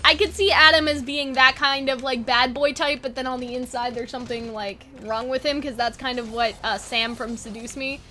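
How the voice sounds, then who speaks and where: A woman's voice: high-pitched, steady tone, moderate pace, hardly any pauses, bright, forceful tone, slight background noise. A woman chatting casually and informally, thinking aloud like a video creator brainstorming with fans. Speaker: online video creator and host. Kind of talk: casual fan brainstorming chat.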